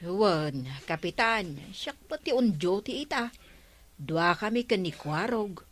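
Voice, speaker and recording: A woman's voice: mid-range voice, expressive, slow. A woman playing a helpful, easygoing villager in an Ilocano radio comedy. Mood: obliging. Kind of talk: comedic radio drama acting.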